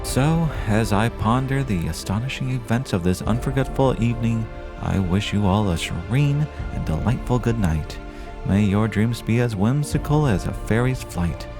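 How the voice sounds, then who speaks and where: A man playing an excitable, earnest small-town radio reporter with a florid, theatrical way of narrating. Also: lowish voice, normal speed, music in the background, lightly acted. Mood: earnest wonder. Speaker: host and narrator of an audio drama. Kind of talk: old-time radio style dramatic narration.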